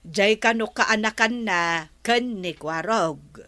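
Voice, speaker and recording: An eager matchmaking village wife in a comedy radio drama. She has an Ilocano accent and a bright voice at medium pitch, in a dry studio sound. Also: slow, no echo. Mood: eager, gossipy.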